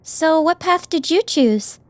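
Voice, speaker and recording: A woman playing an anxious, soft-hearted young companion in an audiobook drama, expressive and hesitant. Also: extremely high pitch, takes it slowly, no room sound, full character voice. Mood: concerned.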